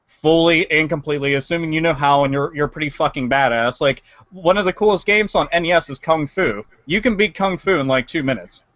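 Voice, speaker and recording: Male panelist, fairly high voice, blunt and sweary casual talk, very dry close sound. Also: fairly quick, fairly bright tone, no room sound. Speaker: podcast panelist. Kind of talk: casual video game podcast discussion. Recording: dry, dull lo-fi mic.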